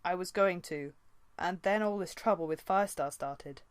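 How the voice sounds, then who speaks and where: A woman's voice: fairly low pitch, moderate pace, dry recording, natural voice. A woman voicing a burdened young male warrior cat in an audiobook, speaking in a serious, firm manner. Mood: guilty, urgent. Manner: serious, firm, rough-edged.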